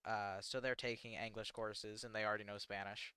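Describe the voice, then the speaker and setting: Halting teenage American guy streaming. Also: lowish voice, flat delivery, sharp tone, smooth, dry recording. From United States. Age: teens. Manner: halting and rambling, self-deprecating, many fillers. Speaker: livestreamer. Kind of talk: casual livestream chat answering chat questions.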